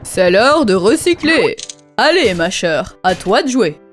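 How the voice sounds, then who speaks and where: A woman's voice: mid-range voice, big pitch swings, slow. A woman voicing a pleading, dramatic animal character in a rhyming children's cartoon, with music behind. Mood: urgent, pleading.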